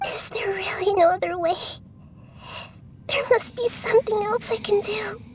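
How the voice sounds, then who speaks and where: A woman's voice: very high voice, moderate pace. A woman playing a small, childlike young goddess in a video game, tender and earnest, with a hesitant, emotional delivery. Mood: tearful, pleading. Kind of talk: scripted dramatic game voice acting. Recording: music behind the voice.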